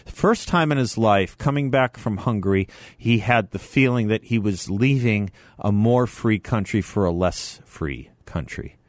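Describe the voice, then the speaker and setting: A man's voice: fairly low pitch, measured pace, no echo, studio quality. A man hosting a conversational talk radio interview. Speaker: radio talk show host.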